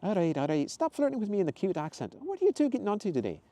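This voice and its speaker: A man voicing a bubbly, eccentric woman shopkeeper in her thirties, loud and gushing. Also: high voice, wildly swooping pitch, racing, no room sound, pitched above the performer's own voice, full character voice.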